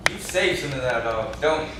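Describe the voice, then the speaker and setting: A man's voice: medium pitch, animated, normal speed, natural voice. A young man acting in an amateur stage play as a simple, touchy backwoods dog breeder with a naive rustic way of talking. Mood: placating. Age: young adult. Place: classroom, indoors. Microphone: far camera. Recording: echoey classroom, distant camera mic, noisy.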